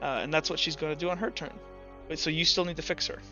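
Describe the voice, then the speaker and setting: A man's voice: fairly high pitch, steady tone, quick pace, sharp tone. A man running a tabletop roleplaying game as game master, explaining rules and scenes in a casual, rambling way with restarts. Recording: dull lo-fi voice with music behind.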